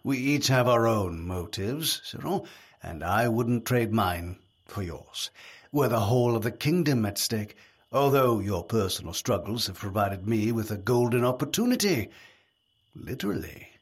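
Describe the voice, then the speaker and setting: An actor in an audio drama playing a calm, sly medieval conspirator, measured and dryly sardonic. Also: male voice, medium pitch, slightly rough. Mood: sly, controlled.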